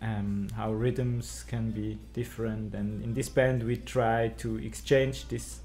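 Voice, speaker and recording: Low mellow guy, slow and kinda monotone, musician talking thoughtfully. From Europe. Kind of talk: casual interview.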